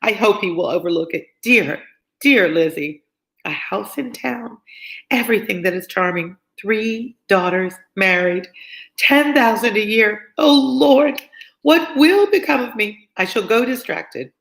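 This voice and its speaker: A woman voicing an overexcited, gushing middle-aged mother from a Regency novel, with breathless exclamations and drawn-out words. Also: medium pitch, lively intonation, creaky, fairly bright tone, voice pushed up.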